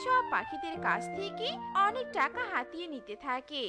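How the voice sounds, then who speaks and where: Woman, storybook cartoon narration, lively swooping pitch, loud children's music behind. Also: normal speed.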